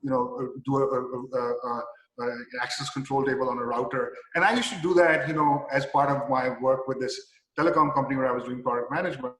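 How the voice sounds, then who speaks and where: Pakistani-accented man in his forties, guest, halting and chatty, heard in a reverberant hall. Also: medium pitch, steady tone, fairly bright tone. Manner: halting, many restarts and "you know" fillers, easy chuckles. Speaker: company president, guest. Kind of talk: casual interview answers. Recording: echoey room with long reverb.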